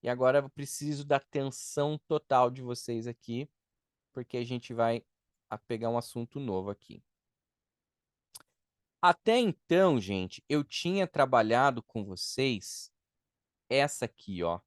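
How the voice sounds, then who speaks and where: A man's voice: medium pitch, animated, measured pace, no room sound, studio quality. A Brazilian man, a native Portuguese speaker, teaching a beginner language lesson step by step, repeating items and checking that students follow. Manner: didactic, step-by-step with repetition and check-in tags. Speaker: English teacher.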